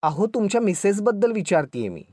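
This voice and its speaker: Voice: medium pitch, big pitch swings, a little fast, a little mellow, no room sound, clean studio sound, pitched above the performer's own voice. A narrator acting breezy, bold young woman visitor. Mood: cheerful, amused. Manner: breezy, forthright.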